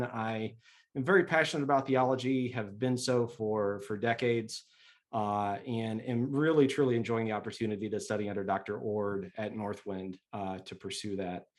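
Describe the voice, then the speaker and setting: A man with a mid-range voice giving an informal presentation on a video call. He speaks hesitantly, often restarting phrases and filling pauses. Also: mid-range voice. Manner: hesitant, many restarts and fillers. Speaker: guest speaker and author.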